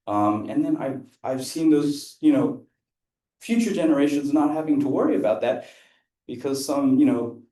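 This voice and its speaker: A man's voice: medium pitch, mellow, echoey room, rough recording. A man who grew up in India, interpreting a Buddhist teacher for an audience in a loose, rambling way with lots of fillers and restarts. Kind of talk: interpreting a dharma talk, casual. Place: event room with an audience.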